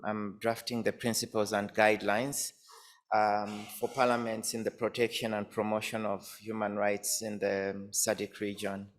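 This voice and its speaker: A man from Zambia presenting as an academic, hesitant with many fillers and repeated words. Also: fairly low pitch. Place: conference hall. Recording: reverberant conference hall, off-mic at times.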